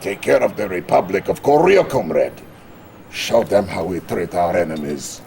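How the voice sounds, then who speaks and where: An actor playing a pompous, jovial Soviet premier in a game cutscene, with a Russian accent and a halting, theatrical delivery. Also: male voice, deep voice, very animated, a little mellow, a little hiss or hum, full character voice. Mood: firm, paternal. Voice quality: gravelly, creaky. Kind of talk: video game cutscene acting.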